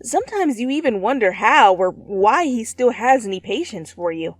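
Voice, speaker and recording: A woman reading a story aloud as dramatic, expressive narration, addressing the listener as the main character. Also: a little high, normal speed, no echo, soft background music. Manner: theatrical, expressive. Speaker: fan fiction reader.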